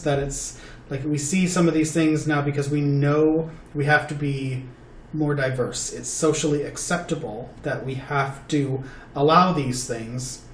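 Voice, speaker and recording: A man in a casual chat, thinking aloud hesitantly and searching for words. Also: fairly high pitch. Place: table with four people. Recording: roomy and distant with faint noise.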